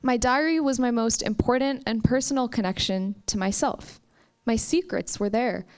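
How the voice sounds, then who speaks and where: A young woman from the United States telling a personal story at a live campus story slam, with slow, emphatic phrasing. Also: fairly high pitch, a little mellow, clear, resonant tone. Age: young adult. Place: stage at a campus story event.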